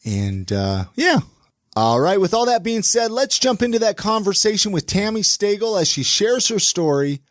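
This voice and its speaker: A man's voice: high-pitched, very animated, moderate pace, fairly bright tone, no room sound, clean studio sound. A man from California hosting a podcast, chatting casually with a guest and rambling through restarts. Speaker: podcast host. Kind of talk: casual interview chat.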